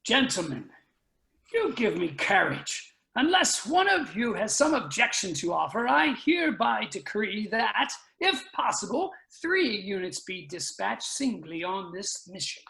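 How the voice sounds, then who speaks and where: A man playing a grave, commanding council leader in a science fiction reading, with forceful oratory. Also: sits high, very animated, unhurried, creaky, full character voice. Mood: stirred, solemn. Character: grave, commanding male council leader rallying a dying Earth. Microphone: video call. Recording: roomy, echoing video call audio.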